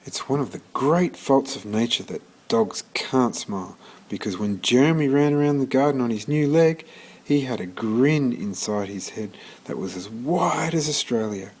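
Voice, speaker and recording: A man reading a children's story aloud in an expressive storytelling manner, occasionally stumbling and restarting. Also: mid-range voice, normal speed, husky. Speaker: storybook reader.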